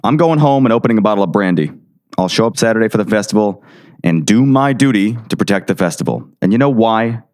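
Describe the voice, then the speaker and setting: Weary small-town sheriff acted by a man, swooping pitch. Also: lively intonation, fast talker, darkish tone, natural voice. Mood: resigned, exasperated. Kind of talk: radio drama acting.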